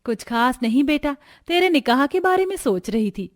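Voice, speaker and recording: Woman acting wealthy mother-in-law, middle-aged, kind, gentle, assured, higher pitch, cartoon story. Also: lively intonation, normal speed, no room sound, studio quality. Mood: fond, thoughtful.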